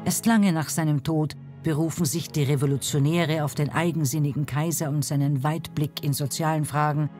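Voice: medium pitch, fairly even intonation, moderate pace, hardly any pauses, darkish tone. A narrator reading a scripted documentary narration. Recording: music bed under narration. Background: music.

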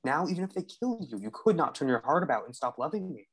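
Earnest high-voiced suitor played by a man. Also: big pitch swings, fast, a bit bright, husky, full character voice. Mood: pleading, insistent. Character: earnest, pleading young suitor arguing for marriage. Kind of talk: stage play reading with quoted Hebrew.

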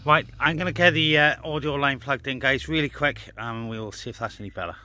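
A man talks informally to camera as a hobbyist vlogger, in a mid-pitched voice. He pauses and hesitates while handling his equipment. The sound comes from an outdoor garden with clear noise behind him. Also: pushed and bright. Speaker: amateur CB radio hobbyist filming a vlog. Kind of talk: casual hands-on vlog commentary. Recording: noisy outdoor phone-camera recording.

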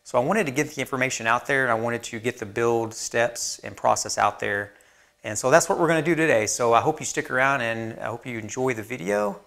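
A man's voice: medium pitch, a little fast, vocal fry. A man in his thirties, host of a woodworking channel, explaining a build casually with plenty of fillers, recorded on a lapel mic in his workshop. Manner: relaxed, plain-spoken, many fillers. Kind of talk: casual how-to narration. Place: woodworking shop indoors.

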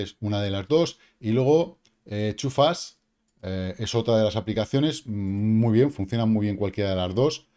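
A middle-aged Spanish man talks straight to camera at his desk, explaining things in a loose, chatty way. His voice sits low and mellow. He punches points with blunt asides and keeps checking in with "¿vale?" to his viewers. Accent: Peninsular Spanish. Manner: chatty and emphatic, peppered with mild swearing and tag questions. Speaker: crypto channel host. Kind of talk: casual explainer monologue. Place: small room indoors.

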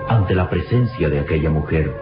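A man's voice: extremely low pitch, expressive, moderate pace. A man narrating an old radio serial in a dramatic, scripted style. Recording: dull old radio recording, music behind.

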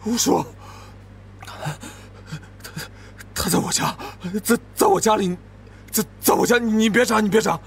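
A man's voice: high-pitched, unhurried, heavily acted. A man playing a cowardly, panicked captive who stammers and pleads in a strained voice in an audiobook drama. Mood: terrified. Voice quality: creaky, raspy, strained.

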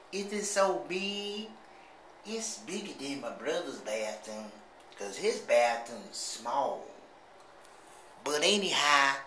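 A rambling, gossipy country sibling, put on by a middle-aged man, drawls slowly. The voice is bright and a little pinched. It echoes around a small tiled bathroom, caught on a handheld camera, with a faint hiss underneath. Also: high voice, exaggerated voice. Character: slow, simple-minded gossipy country sibling. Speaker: comedy vlogger. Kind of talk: comic monologue to camera. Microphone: handheld camera at arm's length.

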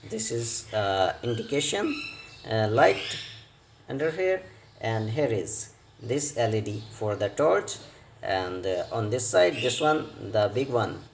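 A man explains a repair step by step as a non-native English speaker, in an unhurried, hesitant way with short broken phrases. His voice sits at an ordinary pitch. The recording is roomy and echoey, with clear noise behind him. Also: big pitch swings. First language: not English. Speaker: repair tutorial presenter. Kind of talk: hands-on repair tutorial narration.

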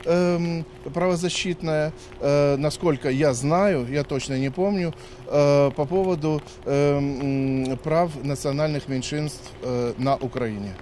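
A man's voice: a little high, takes it slowly. A man in his fifties answering a reporter on the street, lecturing and argumentative, with frequent hesitations. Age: 50s. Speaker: dictation participant. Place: outdoors on a city street. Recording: faint street noise.

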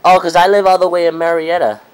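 A young man speaking casually as a customer on a prank phone call, halting and repeating himself. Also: sits high, flat delivery, smooth. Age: young adult. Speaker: prank caller, video host. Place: small room at home.

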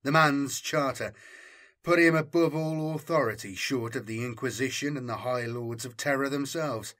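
A man reading a military science fiction story as dramatic scripted narration, in a measured, formal storytelling manner. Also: mid-range voice, fairly bright tone. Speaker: audiobook narrator.

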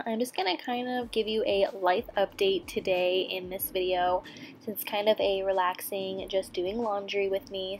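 Chatty young mom vlogging chores at home. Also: female voice, mid-range voice, a little mellow, slight background noise. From San Diego, US. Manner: chatty, upbeat, rambling with ums and likes. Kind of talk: casual chat over household chores. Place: indoors in a home, laundry room and bedroom. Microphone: camera mic, sometimes handheld at arm's length, sometimes from across the room.

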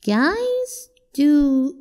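A woman voicing a curious, upbeat young child in an animated comic dub. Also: high voice, extremely slow, exaggerated voice.

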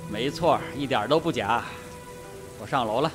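An actor in a radio drama playing a cool, cavalier colonel and adventurer, assured and sardonic. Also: male voice, mid-range voice, normal speed, music in the background, natural voice. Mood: cool, wry.

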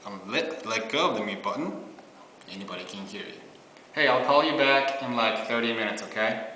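Bright young man in an echoey room. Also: mid-range voice, moderate pace, slight background noise. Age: 20s. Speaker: product presenter. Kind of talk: casual product demo. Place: small bare meeting room indoors. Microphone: camera on table, about arm's length or farther. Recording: echoey room, faint music.

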